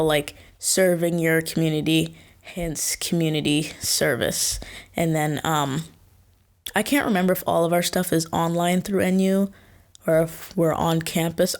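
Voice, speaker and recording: A woman's voice: sits low, gravelly. A young woman hosting her first solo podcast, chatting casually and rambling in circles with frequent self-corrections. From Washington State, USA. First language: born in Kenya. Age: young adult. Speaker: podcast host.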